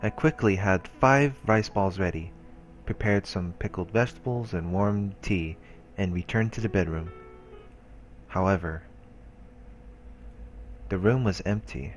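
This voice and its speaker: Deep-voiced man reading game text aloud. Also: a little slow, pauses often, dull audio, faint music behind. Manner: steady reading with casual asides. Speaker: let's play host.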